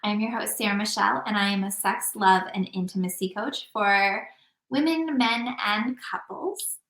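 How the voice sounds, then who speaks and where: A woman's voice: mid-range voice, normal speed, fairly bright tone. A woman hosting a relationship podcast as an intimacy coach, talking casually and guiding the talk, a little scattered. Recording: echoey room.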